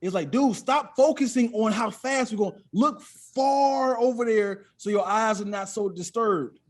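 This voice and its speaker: High-voiced male comedian telling stories casually. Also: unhurried. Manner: animated, acts out quoted dialogue. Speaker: comedian, podcast guest. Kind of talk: casual comic storytelling.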